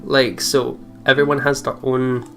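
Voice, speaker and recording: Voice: medium pitch, flat delivery, a little slow. A giggly, chatty let's play host, talking casually and reading game text aloud. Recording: music behind the voice. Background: plucked guitar game music.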